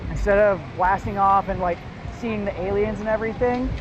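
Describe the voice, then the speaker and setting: A man's voice: high-pitched, brisk, over music. A young American man chatting casually and earnestly in a rambling way, with heavy swearing. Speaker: livestreamer. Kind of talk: casual street chat. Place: outdoors in a city at night. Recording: muffled, noisy outdoor livestream audio.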